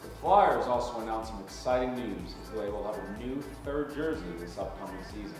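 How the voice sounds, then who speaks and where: Measured man co-hosting in an echoey room. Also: fairly low pitch, lively intonation, distant mic, music in the background. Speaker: co-host. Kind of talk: scripted promo presenting.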